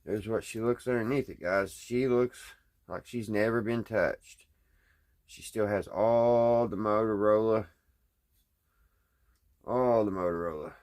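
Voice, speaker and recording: Guy, folksy, just thinking out loud while he tinkers at the bench. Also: lowish voice, unhurried, long pauses. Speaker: hobbyist radio repairer, video host. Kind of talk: casual unscripted hands-on repair vlog. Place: indoors at a workbench.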